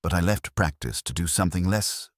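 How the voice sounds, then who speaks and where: A man's voice: deep voice, animated, fairly quick, no room sound, clean studio sound, voice pushed up. A man voicing a cool, guarded professional woman in a detective audiobook, with clipped, composed, precise speech. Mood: composed, businesslike. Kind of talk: detective audiobook character dialogue.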